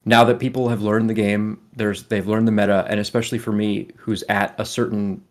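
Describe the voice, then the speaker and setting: A man with a fairly low voice talks casually as a podcast co-host. He explains things at length in an informal, conversational way and swears offhandedly. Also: level tone, brisk. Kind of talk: casual gaming podcast chat.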